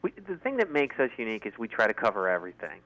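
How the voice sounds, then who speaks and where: A man's voice: on the low side, huge pitch swings, rapid-fire, fairly bright tone, low-quality recording. Eager man as a radio guest. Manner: enthusiastic, many fillers. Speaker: guest, event organizer. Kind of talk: casual radio interview. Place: radio studio.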